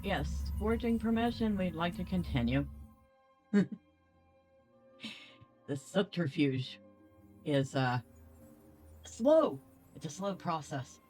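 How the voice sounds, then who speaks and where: Lower-pitched woman doing casual game commentary. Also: animated, slow pace, long pauses, a little mellow, faint music behind, low-quality recording. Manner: thinks aloud, playful. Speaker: gaming video host. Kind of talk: casual let's play commentary.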